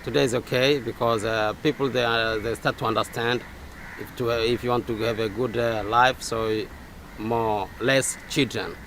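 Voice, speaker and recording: Local man with a Swahili accent, hesitant interviewee, average pitch, quiet ambience behind. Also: steady tone, a little hiss or hum. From Zanzibar, Tanzania. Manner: halting, many uh fillers and restarts. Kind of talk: casual interview answers.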